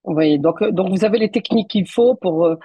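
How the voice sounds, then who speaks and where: Low mellow husky woman host. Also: no room sound. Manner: measured, polite, with fillers and restarts. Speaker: show host. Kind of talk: interview hosting.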